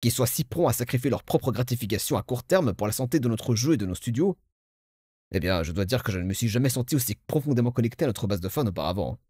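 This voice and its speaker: A man playing a pompous, self-satisfied game narrator with formal, measured, grandiloquent delivery. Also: medium pitch, expressive, warm tone, breathy edge, no echo, clean studio sound. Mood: moved, grandiose. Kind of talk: theatrical scripted game narration in French fan dub.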